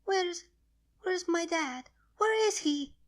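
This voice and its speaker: A woman's voice: extremely high pitch, slow, pitched above the performer's own voice. A woman voicing a frightened, grieving baby girl dragon who pleads and protests in a storybook reading. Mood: panicked.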